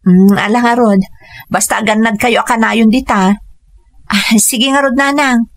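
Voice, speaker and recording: A woman's voice: a little high, steady tone, moderate pace, no room sound, lightly acted. A woman playing a devoted single mother working abroad in a radio drama, with an earnest, emotional delivery. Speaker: lead actress.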